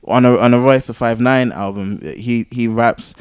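A man co-hosting a podcast in casual conversation, rambling and getting animated as he argues his points. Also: mid-range voice, normal speed, smooth, no room sound, clean studio sound. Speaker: podcast co-host. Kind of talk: casual chat.

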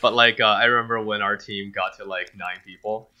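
A man from China, a native Mandarin speaker, talks casually as a podcast guest, starting and restarting his sentences with plenty of fillers. His voice is very bright and tense, sitting on the low side. The audio sounds slightly dull. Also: fairly even intonation. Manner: halting, many fillers and restarts, laughs easily. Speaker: game studio owner, guest. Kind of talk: casual interview chat.

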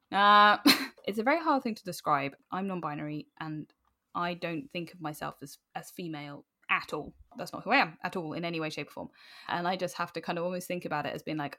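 A woman's voice: fairly low pitch, a bit bright. A woman in her twenties with a British accent, talking casually as a podcast guest, rambling and acting out imagined remarks as they go. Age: 20s. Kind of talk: casual interview chat.

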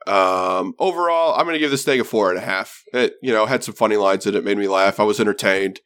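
A man's voice: lowish voice, fast talker, fairly bright tone, smooth. A man giving a casual, rambling movie review on a podcast. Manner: rambling, lots of fillers and restarts. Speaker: podcast co-host.

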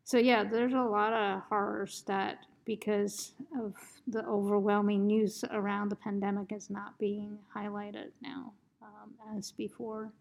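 A woman's voice: mid-range voice, flat delivery, a little slow, creaky, hardly any pauses. A woman interviewing a guest, asking questions and responding with frequent short acknowledgements. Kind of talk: conversational interview.

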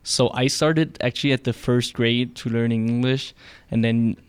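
Even-toned young man with a German accent. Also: medium pitch, normal speed, clear, resonant tone, no echo. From Cologne, Germany. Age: teens. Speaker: interview guest, exchange student. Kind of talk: casual interview answers.

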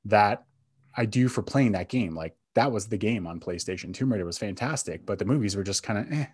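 A man's voice: fairly low pitch, lively intonation, fairly quick, a little mellow, slightly rough, dry recording, clean studio sound. A man hosting a podcast in casual chat about entertainment, speaking in a hesitant, think-aloud way. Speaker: podcast host.

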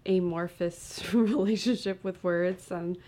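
A woman's voice: on the low side, fairly even intonation, slow pace, mellow. A woman interviewing a guest in a casual chat, speaking in a hesitant, thoughtful way.

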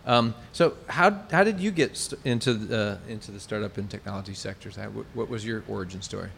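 A man hosting an interview, asking questions in a halting way with many restarts. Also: fairly low pitch, gravelly, fairly bright tone, slight background noise. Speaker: interview host.

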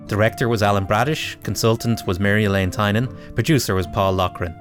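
Guy announcer reading radio play credits, lowish voice, bit of guitar under it. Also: steady tone, brisk. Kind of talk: scripted credits read. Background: guitar music.

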